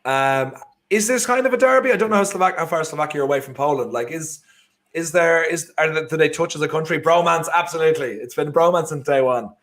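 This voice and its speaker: Chatty Irish man, higher voice. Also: fast, a bit bright. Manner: chatty, rambling, often restarts and trails off. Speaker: co-host of a football watchalong. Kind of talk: casual live match chat.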